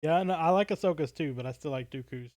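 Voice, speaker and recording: Jokey man with a slightly higher voice. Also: quick pace, a little mellow, dry recording, studio quality. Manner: jokey, tongue-in-cheek, rambling. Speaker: podcast co-host. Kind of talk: casual livestream chat.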